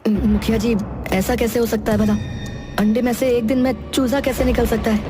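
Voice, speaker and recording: A woman voices a simple young village lad in a cartoon fable. The voice is high, with an earnest, naive manner. Music plays loudly behind it. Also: little pitch movement, moderate pace, lightly acted. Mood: puzzled. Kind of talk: cartoon moral story dialogue. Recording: loud music under the voice.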